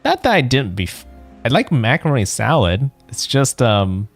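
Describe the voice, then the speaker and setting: A man's voice: mid-range voice, very animated, moderate pace, soft background music. A man giving casual, rambling let's-play commentary as a game streamer, musing aloud.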